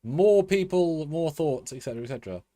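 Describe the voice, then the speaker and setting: A man's voice: fairly high pitch, animated, a little fast, warm tone, dry recording. A man co-hosting a live review show, rambling in a chatty way with many fillers and restarts. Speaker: co-host. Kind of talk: live-stream review chat.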